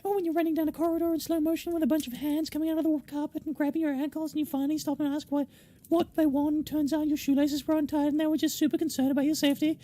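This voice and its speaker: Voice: high-pitched, little pitch movement, racing, warm tone, voice pushed up, lightly acted. A game player voicing a chirpy, morbidly whimsical young woman who rambles politely.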